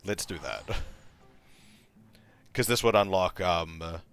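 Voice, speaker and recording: A man with a very deep, gravelly, croaky voice chats casually on a gaming livestream, rambling and thinking aloud as he plays. His tone is bright and forceful, worn from talking all day. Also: expressive, drawn-out words, soft background music. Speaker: streamer.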